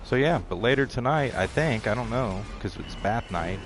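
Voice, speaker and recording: A man's voice: mid-range voice, expressive, normal speed, faint music behind, low-quality recording. A man giving rambling, self-deprecating commentary while streaming a video game. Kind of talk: casual gameplay commentary.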